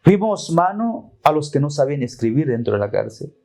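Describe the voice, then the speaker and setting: A man whose first language is Tzotzil, a former prisoner, speaking in solemn, measured declarations. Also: on the high side, big pitch swings, vocal fry, darkish tone.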